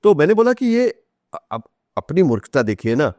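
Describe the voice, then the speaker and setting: A man's voice: fairly high pitch, sing-song, moderate pace, a little mellow, dry recording, studio quality. A man with an Indian accent tells stories in an interview, switching between Hindi and English with emphatic repetition. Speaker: author, podcast guest. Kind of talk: conversational storytelling in an interview.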